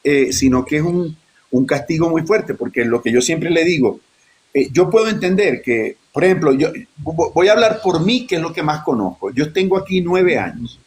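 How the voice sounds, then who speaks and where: A man's voice: fairly high pitch, moderate pace. Man telling stories animatedly. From Venezuela (Maracaibo). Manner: animated storytelling, frequent restarts and repetitions. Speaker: actor guest. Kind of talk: live panel conversation.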